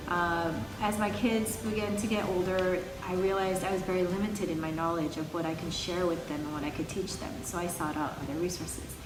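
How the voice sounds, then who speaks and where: A woman's voice: lowish voice, fairly even intonation, brisk, few pauses. A middle-aged woman from the United States with an American accent, giving an informal testimonial as a parent. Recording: roomy echo, distant microphone.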